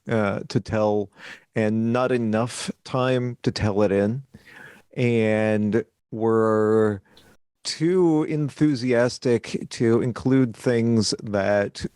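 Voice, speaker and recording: Slow, measured man with dry humor. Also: on the low side, darkish tone, clean tone, no room sound. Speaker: podcast co-host. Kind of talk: casual podcast review.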